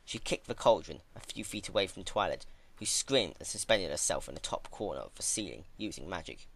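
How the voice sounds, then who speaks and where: A man giving a dramatic narrated reading of a dark fan fiction story. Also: low voice, expressive, brisk, vocal fry, a little hiss or hum.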